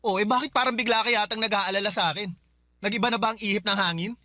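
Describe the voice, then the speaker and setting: Fast, defiant Filipino younger brother. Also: male voice, sits high, steady tone, bright, forceful tone, no room sound, studio quality. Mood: defiant, resentful. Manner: argumentative, whiny, defensive. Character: defiant, resentful college-dropout younger brother. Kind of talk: Filipino radio drama acting.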